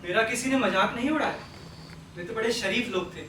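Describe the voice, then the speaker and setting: A man on stage playing a forgiving, gently moralising father, speaking politely with measured insistence. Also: high voice. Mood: earnest, reproving. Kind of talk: Hindi stage play acting. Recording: echoey, distant stage recording with noise.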